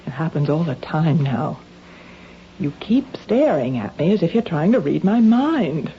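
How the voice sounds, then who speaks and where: An actress playing an anxious, guilt-ridden wife in a 1970s radio drama, defensive, emotional and pleading. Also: female voice, deep voice, big pitch swings, a little slow, full character voice. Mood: hurt, accusing. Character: anxious, guilt-ridden wife ashamed of her compulsive stealing. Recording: dull, lightly noisy old radio recording.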